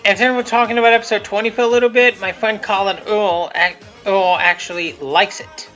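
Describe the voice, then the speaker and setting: Guy doing off the cuff commentary, repeats himself a lot, bright voice, muffled mic, some music under it. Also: sits high, fairly even intonation, a little fast. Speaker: creator giving episode commentary. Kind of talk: casual unscripted commentary.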